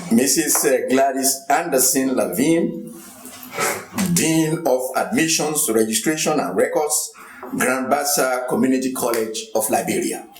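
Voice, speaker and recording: A man introducing conference panelists, speaking in a measured way with frequent hesitations. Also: mid-range voice, a little hiss or hum. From Nigeria. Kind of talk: formal introductions. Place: university conference hall. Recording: echoey hall.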